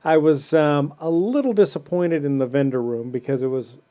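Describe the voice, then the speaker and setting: A man's voice: a little high, normal speed, mellow, clear, resonant tone. A man hosting a podcast who muses casually and rambles, with plenty of fillers. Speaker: podcast host. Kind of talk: casual chat.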